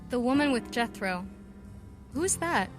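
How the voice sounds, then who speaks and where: A woman's voice: fairly high pitch, animated, a little slow. A woman acting a bold, earnest, headstrong young vigilante in a pulp audio drama. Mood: admiring. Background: music.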